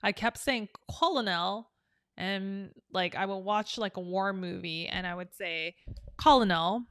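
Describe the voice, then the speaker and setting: Young adult woman, friendly teacher, slow and dry. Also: medium pitch, a bit bright, clear, resonant tone, no room sound. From South Korea. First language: Korean. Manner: chatty and upbeat, explaining with examples. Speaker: English teacher. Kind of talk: casual online English lesson.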